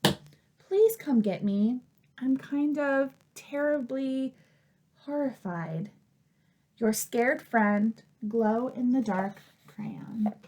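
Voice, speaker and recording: A woman's voice: on the high side, extremely slow, darkish tone. A woman voicing a scared, abandoned crayon in a children's storybook, sheepish and pleading. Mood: nervous, pleading.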